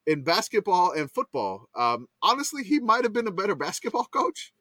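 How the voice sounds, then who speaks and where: Louisiana guy rambling on a podcast, kinda high voice, dead dry room. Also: very animated, moderate pace, pushed and bright, dry recording. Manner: rambling, self-deprecating, laughs at himself. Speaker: podcast co-host. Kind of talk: casual chat.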